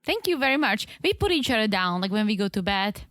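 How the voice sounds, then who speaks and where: A woman's voice: medium pitch, very animated, fast talker, a bit bright, no room sound, clean studio sound. A woman co-hosting a casual comedy podcast, giggly and curious, easily astonished. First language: Czech. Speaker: podcast co-host.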